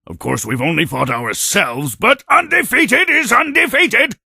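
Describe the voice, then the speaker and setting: An actor playing a boastful, brawny warrior creature in a video game, blustering and menacing in a gravelly, raspy voice. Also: male voice, sits high, animated, unhurried, pushed and bright, dry recording, clean studio sound, full character voice. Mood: boastful, aggressive. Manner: swaggering, bragging, menacing. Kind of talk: video game cutscene acting.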